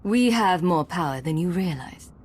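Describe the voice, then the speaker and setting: Low-voiced, confident agent commander. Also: female voice, lively intonation, moderate pace, a little mellow, slightly rough. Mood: defiant. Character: confident, dry-witted female agent commander. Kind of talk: naturalistic video game acting.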